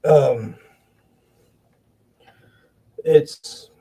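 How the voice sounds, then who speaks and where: A man hosting a wrestling podcast, giving blunt, profane opinions in casual commentary, with halting restarts. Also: fairly high pitch, mellow, breathy edge.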